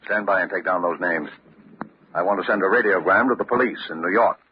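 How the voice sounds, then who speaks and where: An actor playing a formal, grave and courteous gentleman in an old-time radio crime drama. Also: low voice, brisk, lightly acted. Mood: grave, solemn. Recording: dull old radio recording, faint noise.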